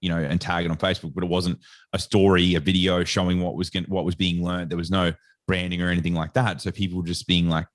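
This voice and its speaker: A very deep Australian man chats as a podcast guest. His voice sits low and sounds close and dry, with no room around it. He rambles loosely through his story with plenty of casual filler. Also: flat delivery, fast, no echo. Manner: rambling storyteller, heavy on "like" and "sort of". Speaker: guest, sports nutritionist.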